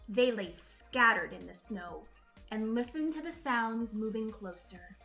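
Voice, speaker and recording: A woman reading a children's story aloud in an expressive, deliberate, dramatic way. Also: on the high side, creaky, faint music behind. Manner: deliberate, dramatic delivery with acted-out sound words. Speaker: storybook reader.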